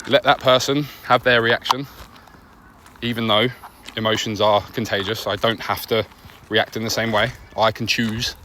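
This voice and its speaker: A man's voice: fairly low pitch, pushed and bright. Man reflecting outdoors over background noise. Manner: earnest, conversational, pausing between short phrases. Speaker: podcast host. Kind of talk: reflective personal monologue while walking a dog. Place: outdoors on a dog walk. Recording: noisy outdoor recording with nature ambience.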